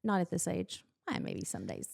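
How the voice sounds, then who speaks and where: A woman in her forties hosting a podcast interview, chatty and self-teasing with quick asides. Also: fairly low pitch, sing-song, gravelly, darkish tone. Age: 40s. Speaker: podcast host and nurse. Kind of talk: casual interview chat.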